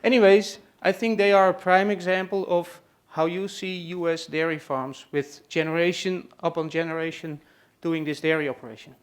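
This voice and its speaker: A man with a Dutch accent speaks to an audience at an expo, pitched higher than most men. He talks with hesitant pauses and frequent fillers as he introduces his guests. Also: fairly even intonation. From Netherlands. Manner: hesitant, many "uh" and "let's say" fillers. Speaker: presenter hosting a farm tour session. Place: room at a trade expo.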